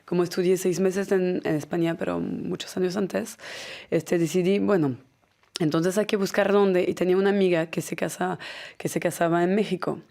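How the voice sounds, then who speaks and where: A French woman talks as a radio guest, her voice sitting a little low. She answers in a relaxed way and picks up Mexican fillers. French is her first language. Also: normal speed, creaky. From France. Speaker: mezcal brand manager, guest. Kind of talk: conversational interview answers. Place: radio studio.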